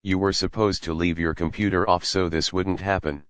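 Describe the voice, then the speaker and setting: Guy doing a deep smooth stern friend, kinda worried, dry sound. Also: steady tone, normal speed, dry recording, close to an everyday voice. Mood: concerned. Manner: firm and questioning. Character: concerned young male friend, stern.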